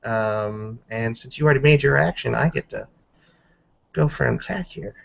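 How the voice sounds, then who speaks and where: A man narrating a tabletop roleplaying game as game master, halting and descriptive, calling out dice rolls. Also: medium pitch, gravelly, dull audio.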